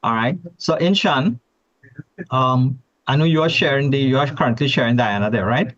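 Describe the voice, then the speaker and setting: A man's voice: medium pitch, moderate pace, fairly bright tone. A man with a Trinidadian accent presenting at an online forum, chatty and repeating words as he restarts. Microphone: video call. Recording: dull lo-fi video call audio.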